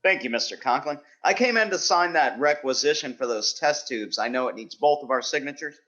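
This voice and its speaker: An actor playing a timid, polite, awkward teacher, hesitant and deferential, in a vintage radio sitcom style. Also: male voice, medium pitch, expressive, brisk, pushed and bright, dull audio. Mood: nervous, deferential.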